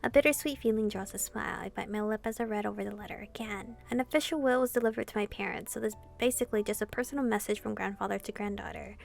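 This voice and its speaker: A woman's voice: medium pitch, gravelly. A woman gamer reading a visual novel aloud expressively, in the style of a casual playthrough.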